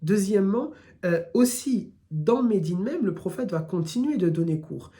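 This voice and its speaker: Southern french guy teaching a live class, warm mellow voice, piles up lists, talks right to you. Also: high-pitched, expressive, moderate pace. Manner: builds points through repeated lists, speaks straight to listeners. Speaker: Islamic teacher. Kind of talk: live religious lesson.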